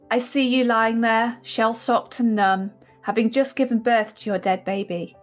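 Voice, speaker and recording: Woman, slightly high voice, solemn letter reading, measured delivery, faint music behind. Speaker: writer and podcast host. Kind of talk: reading a personal letter aloud.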